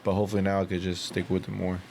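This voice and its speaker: A man's voice: low voice, monotone, very fast, creaky, a little mellow, slight background noise. A man chatting casually on a podcast in slangy, profane talk, often repeating himself. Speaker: podcast co-host.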